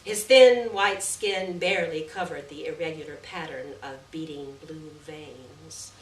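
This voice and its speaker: Low-voiced woman reading, roomy and distant. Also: unhurried. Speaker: reader at a live short story event. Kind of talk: expressive literary short story reading. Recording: distant roomy live recording, faint noise.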